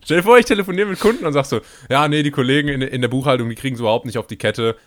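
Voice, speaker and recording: Brief: quick, animated male podcast co-host, ranting comedic chat, dry studio sound. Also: mid-range voice, no room sound. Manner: animated, ranting, mimics people in jokey quotes.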